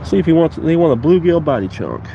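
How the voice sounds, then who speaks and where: A man's voice: fairly high pitch, quick pace, vocal fry, muffled recording, a little hiss or hum. A man with a stuffy, congested voice from a cold, chatting casually to viewers while fishing outdoors. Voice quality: stuffy, nasal. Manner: relaxed, chatty, talks to viewers while working. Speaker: fishing vlogger. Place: outdoors by the water.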